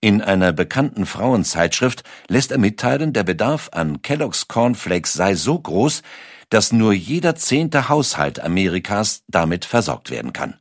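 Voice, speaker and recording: Man, scripted documentary narration, deep pitch, varied melody. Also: sing-song, measured pace, no echo, studio quality.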